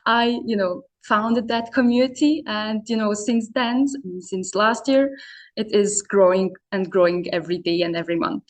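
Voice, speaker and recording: A woman originally from Serbia talks through her story in relaxed, conversational English. She hesitates often, leaning on "you know" and restarting sentences as she goes. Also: mid-range voice, normal speed. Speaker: podcast guest, community founder. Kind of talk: casual interview answers.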